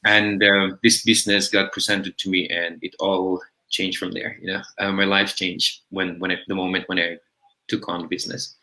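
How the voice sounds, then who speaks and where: A man's voice: low voice, flat delivery, moderate pace, pushed and bright, low-quality recording. A man, telling his own story reflectively and conversationally as an interview guest. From Philippines. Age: 30s. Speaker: interview guest, business coach. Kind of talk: personal storytelling in a livestream interview.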